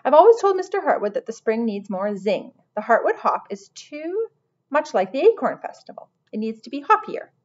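A woman performs a self-important male lizard who runs a hotel front desk in a children's storybook. He is emphatic and easily worked up, with a raised, very animated voice and slightly dull sound. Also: on the high side, normal speed, pitched above the performer's own voice. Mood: gleeful, boastful. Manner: pompous, emphatic. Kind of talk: children's audiobook character voice.

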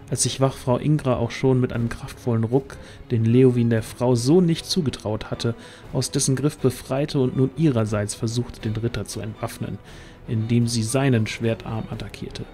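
A man's voice: mid-range voice, steady tone, normal speed, warm tone, faint music behind. A man narrating a scripted fantasy audio drama as the storyteller.

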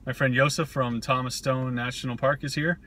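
Man, 30s, ham radio host, joking, bright voice, parked car, dashboard camera. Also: medium pitch, steady tone, moderate pace. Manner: joking, laughs often. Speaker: amateur radio operator and channel host. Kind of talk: casual chat.

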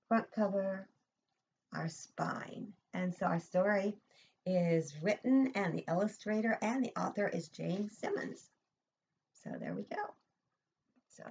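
An older woman leads story time for preschoolers as their teacher. She reads slowly and deliberately with a very lively, swinging pitch, pausing for simple questions. The home recording sounds slightly dull. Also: creaky, a little hiss or hum. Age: older, 60s or above. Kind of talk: picture-book story time for young children. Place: room at home, indoors.

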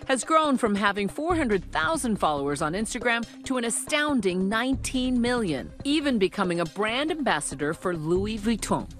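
A woman's voice: medium pitch, a bit bright. A woman reading a scripted news feature as a reporter's voice-over. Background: music under the voice.

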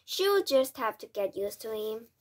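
A woman reads the lines of an old Norwegian grandmother in a storybook. She is calm, mischievous and matter-of-fact, and her fairly high voice has a husky edge. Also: measured pace. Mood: blunt, unruffled. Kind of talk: storybook read aloud.

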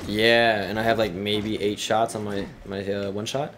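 A man's voice: on the low side, flat delivery, normal speed, a bit bright, slight background noise. A man chatting and calling out moves to a friend while playing a co-op shooter. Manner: chatty, self-mocking, calls out moves. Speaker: co-op gamer. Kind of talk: casual banter while playing.

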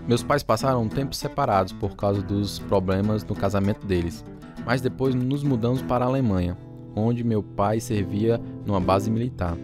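A man's voice: fairly low pitch, normal speed, music in the background, close to an everyday voice. A man playing a grown man soberly recalling his youth, narrating in a radio drama. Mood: reflective. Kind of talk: scripted first-person narration.

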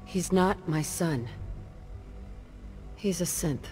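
A low-pitched, ruthless woman commander in a dramatic video game. Her delivery is blunt and cold, with no sentiment. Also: very slow, music in the background. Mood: callous. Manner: blunt, unsentimental.

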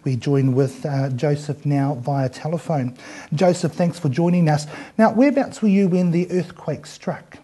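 A man's voice: a little high, normal speed, dark tone, husky. A middle-aged man, presenting news and asking interview questions formally from a studio desk on a lapel mic. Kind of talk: scripted news reading and interviewing.

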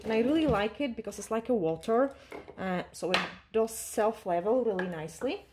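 Calm woman teaching a nail tutorial. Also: on the high side, moderate pace, background noise. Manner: calm, instructive, with frequent fillers and non-native grammar. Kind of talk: step-by-step tutorial narration while working. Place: nail salon desk.

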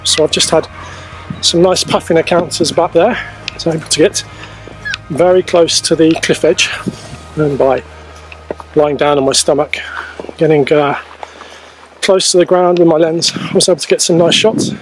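A man in his forties talking casually to camera as a wildlife photography vlogger, explaining things in a halting way on an outdoor camera microphone. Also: a little high, fairly even intonation. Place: outdoors on a grassy coastal clifftop. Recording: poor camera-mic sound outdoors, music behind.

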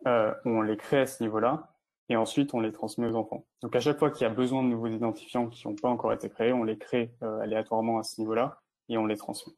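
A man's voice: mid-range voice, level tone, quick pace, a little mellow. A man presenting at an online meetup, answering technical questions informally in a hesitant, explanatory way. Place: video call.

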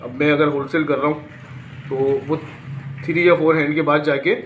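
A man in his thirties with a Karachi Urdu accent, a shop owner explaining his prices in a sales pitch, recorded on a phone in his clothing shop. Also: level tone, moderate pace, fairly bright tone. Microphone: phone camera held by interviewer. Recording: roomy shop with background noise.

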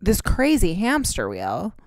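A woman's voice: medium pitch, huge pitch swings, unhurried, no room sound. A woman, co-hosting a podcast in casual chat, candid and rambling with casual swearing. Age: 30s. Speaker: podcast co-host. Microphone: close podcast mic.

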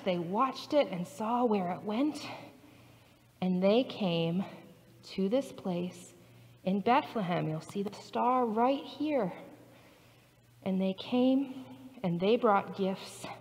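A woman pastor, her voice a little high and full of swooping expression, tells a story slowly and carefully for small children. She speaks in a church sanctuary, picked up by a distant camera, so her words ring with a long echo. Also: darkish tone. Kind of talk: telling a Bible story to preschoolers. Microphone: far camera.

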